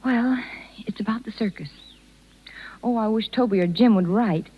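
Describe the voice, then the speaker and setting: A woman's voice: on the high side, normal speed, breathy edge. An American actress playing an earnest, tender young woman circus rider in a 1930s radio drama. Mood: wistful. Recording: muffled 1930s radio broadcast recording.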